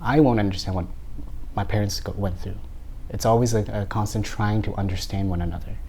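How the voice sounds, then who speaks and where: A man in his thirties from Canada speaks in a relaxed, reflective interview about his art. His voice sits low and warm, and he often restarts words as he gathers his thoughts. A faint hum of background noise sits under the recording. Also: fairly quick. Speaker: artist being interviewed.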